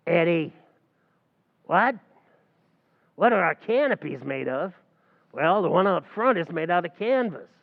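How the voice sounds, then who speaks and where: A man's voice: high-pitched, animated, unhurried, vocal fry, pauses often, heavily acted. A man playing a brash, scheming tavern manager in an old-time radio comedy recreation, wisecracking and mangling big words. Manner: wisecracking, malaprop-prone, brash. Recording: tinny, phone-like recording.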